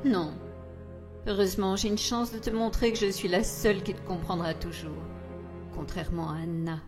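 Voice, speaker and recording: A woman playing a scheming, taunting sorceress in a French fandub of a fantasy drama, speaking in a deliberate, theatrical way. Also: mid-range voice, fairly even intonation, lightly acted. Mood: cold, persuasive. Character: scheming, taunting villainess sorceress, older aunt figure. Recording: loud music under the voice.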